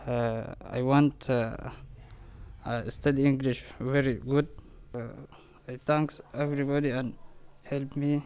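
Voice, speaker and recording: A male student from Sudan, a native Arabic speaker, giving a self-introduction in halting English. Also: medium pitch, flat delivery, darkish tone, clean tone. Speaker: school student.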